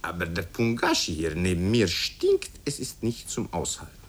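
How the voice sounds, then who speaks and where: A man's voice: deep voice, wildly swooping pitch, normal speed, heavily acted. A man voicing a cheeky schoolboy in a storybook tale, blunt and impudent with a sing-song recital.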